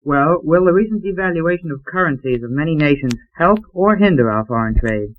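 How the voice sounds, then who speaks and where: A man's voice: a little high, animated, a little fast, phone-quality sound. A young man asking polite, formal prepared questions as a student on a panel program, on an old acetate disc. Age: young adult. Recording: noisy, clicking old 78 rpm acetate disc.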